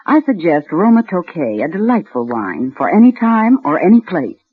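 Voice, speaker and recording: A man's voice: high voice, big pitch swings, normal speed, husky, voice pushed up, heavily acted. A man voicing a gracious society hostess's recommendation in a 1940s radio advertisement, on a tinny old recording.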